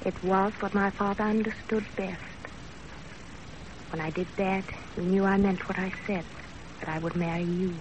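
An actress playing a dutiful young Japanese wife in a 1930s radio drama, plain-spoken and firm. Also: female voice, mid-range voice, steady tone, normal speed, close to an everyday voice. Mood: gentle. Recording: noisy 1930s radio broadcast.

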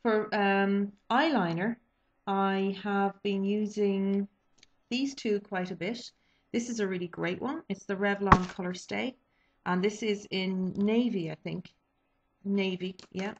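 A woman's voice: medium pitch, steady tone, slow, slight background noise. A woman, chatting casually about makeup she has been using, a little sniffly from a cold, with many ums and restarts. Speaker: beauty vlogger. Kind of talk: casual product review. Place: small bedroom indoors. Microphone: webcam built-in mic. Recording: dull lo-fi webcam audio.

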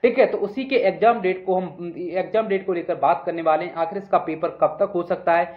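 A man's voice: sits high, fairly quick. A man giving an informal, instructive explainer to exam candidates, often repeating himself and checking in. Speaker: exam news video presenter. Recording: roomy echo, dull muffled sound.

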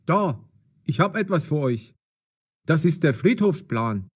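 A man playing a brisk working man in an audio story, speaking in clearly enunciated lines. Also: on the high side, sing-song, full character voice. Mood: helpful. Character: taxi driver and cemetery guard, brisk adult man. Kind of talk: scripted audio story for language learners.

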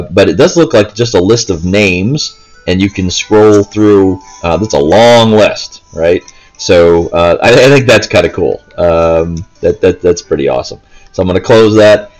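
A man's voice: on the low side, darkish tone, low-quality recording. A man giving casual gameplay commentary, explaining step by step in a rambling way. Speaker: gameplay video host. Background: faint game music.

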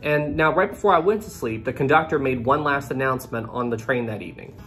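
A young man in his twenties telling a travel story to camera in a small room, animated and conversational. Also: medium pitch, fast, faint music behind. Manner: animated, conversational, addresses viewers directly. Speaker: travel vlogger.

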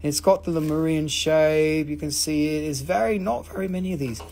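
A man hosting a live rock sale, joking, swearing and rambling in fast auction patter. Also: on the high side, darkish tone. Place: mineral shop indoors.

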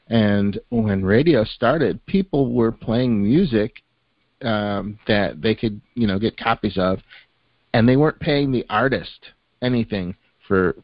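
An older man hosting a podcast in relaxed casual chat, padding his sentences with "you know" and "uh". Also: fairly low pitch, no echo. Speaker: podcast host. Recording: dry, slightly dull podcast audio.

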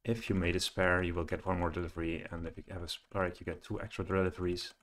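Low-pitched man thinking aloud while coding. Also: steady tone. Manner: halting, muttering to himself. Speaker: programmer presenting a coding screencast. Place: small room indoors at home, at a computer. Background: keyboard typing and clicking.

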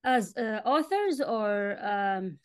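A woman in her forties from Jordan, a native Arabic speaker, talking casually as a guest on a video call and often clearing her throat. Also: medium pitch, lively intonation, slow pace, no echo, clean studio sound. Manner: frequent throat clearing and fillers. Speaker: interview guest, author. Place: home room with bookshelves, indoors. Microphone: wireless earbuds on a video call.

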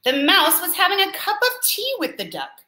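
A woman's voice: very high voice, wildly swooping pitch, moderate pace, pushed and bright, reverberant, voice pushed up, full character voice. A woman reading aloud as a sheepish male cat, apologetic and explaining himself.

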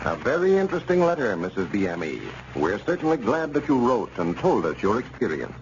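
A man's voice: on the low side, sing-song, brisk. An American man announcing on 1930s radio, reading a sponsor's message in a formal, polished way. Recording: muffled, hissy 1930s radio recording.

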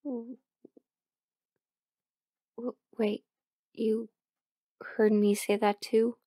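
A woman plays a drowsy, affectionate partner in an intimate roleplay audio. Her voice is fairly high and slow, muttering softly and grumbling playfully. The sound is roomy. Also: flat delivery, far from the mic. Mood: flustered, embarrassed. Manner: soft, drowsy, muttering to herself with whispers and sighs. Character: sleepy affectionate partner awake in cold bed, playfully grumbling.

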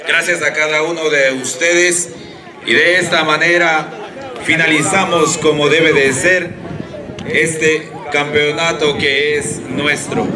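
An Ecuadorian man gives a speech of thanks outdoors. His voice is fairly high and slow, over clear crowd noise at a sports ground. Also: flat delivery. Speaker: tournament president. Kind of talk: public thank-you speech. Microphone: handheld microphone.

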